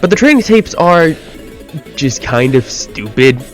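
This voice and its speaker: Male video essayist, medium pitch, casual and exasperated, sarcastic and self-deprecating, music underneath. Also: big pitch swings, a little slow. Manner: exasperated, rhetorical questioning. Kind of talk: casual opinion commentary video. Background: background music.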